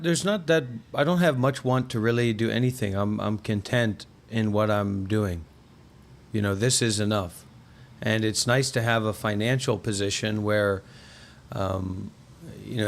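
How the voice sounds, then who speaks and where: Man in his thirties chatting discursively. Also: fairly low pitch. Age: 30s. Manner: discursive, often says "you know". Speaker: host, former monk and content creator. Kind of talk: casual conversational livestream chat.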